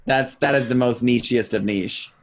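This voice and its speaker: A man's voice: medium pitch, moderate pace. A man co-hosting a podcast in casual, joking chat with a playful manner. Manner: playful, jokey. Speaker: podcast co-host.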